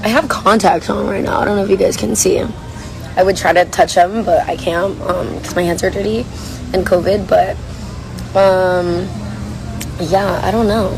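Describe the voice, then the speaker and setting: A young woman with a hoarse, worn voice chats casually while reading viewer comments on a livestream from a coffee shop. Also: mid-range voice, fairly even intonation, moderate pace, over music, rough recording. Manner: chatty, rambling, reads comments aloud. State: hoarse, lost voice. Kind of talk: casual chat.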